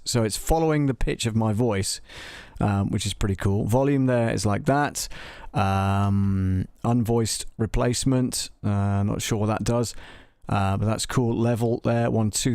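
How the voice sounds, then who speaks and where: Low, dry-sounding man thinking aloud. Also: darkish tone, no echo. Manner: tentative, thinking aloud while testing. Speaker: livestream host demonstrating audio software. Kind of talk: casual unscripted live tech walkthrough.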